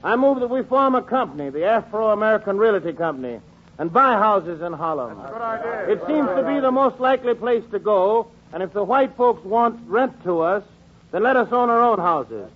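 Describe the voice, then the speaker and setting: A man's voice: sits high, animated, normal speed. An actor playing an eager, persuasive man at a community meeting in a 1940s radio drama. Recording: tinny, phone-like 1940s radio recording.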